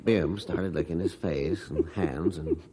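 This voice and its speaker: A man's voice: low-pitched, unhurried, crowd noise. An actor playing a middle-aged rancher father who tells his story in a folksy, matter-of-fact way in an old radio drama. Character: rancher father Mister Jorgensen, gruff storyteller. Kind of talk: 1950s radio drama narration.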